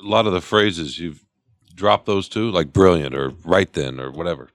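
Very deep-voiced male co-host. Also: moderate pace, no room sound. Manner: quizzing, dry joking. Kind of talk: joking casual chat.

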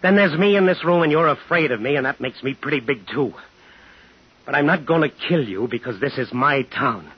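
An actor playing a defiant small-town man who stands up to a crime boss, clipped and deliberate, in 1950s radio thriller style. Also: medium pitch, expressive, slightly rough. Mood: quietly menacing. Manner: hard-boiled, clipped, deliberate. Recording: muffled 1950s radio broadcast, music behind.

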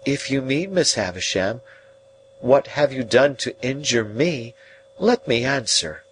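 A man voicing an earnest, remorseful young gentleman who speaks gently and with measured pleading. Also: medium pitch, lively intonation, a little hiss or hum, voice pushed up, poor audio.

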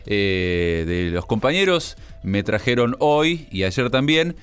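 A man, a radio host, chatting in a relaxed and conversational way at a studio microphone. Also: fairly low pitch, slow pace, smooth, soft background music. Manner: relaxed, conversational, with easy laughs.

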